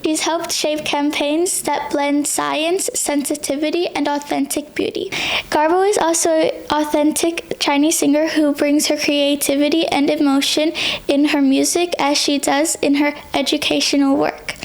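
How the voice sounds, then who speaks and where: A woman hosting an interview show, reading a scripted intro and asking questions in a measured, friendly way. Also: high voice, little pitch movement. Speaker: host.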